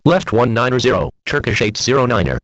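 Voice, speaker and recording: A man's voice: medium pitch, expressive, brisk, fairly bright tone, no echo. A man speaking clipped aviation phraseology over an air traffic radio transmission. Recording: dull, band-limited air traffic radio.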